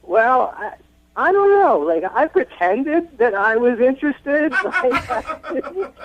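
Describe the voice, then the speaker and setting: A man's voice: very high voice, very animated, slow, a crowd in the background. A man phoning in to a radio show, chatting casually and rambling through his story in a self-deprecating way. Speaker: radio show caller seeking dating advice. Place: phone call to radio show. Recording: dull phone-in line on radio.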